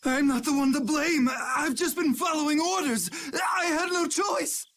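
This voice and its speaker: A man's voice: very high-pitched, unhurried, a bit bright, shouting. A man playing a vain, cowardly noble knight captain in a video game, with a haughty, theatrical, pleading delivery. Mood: desperate bargaining. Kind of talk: dramatic video game voice acting.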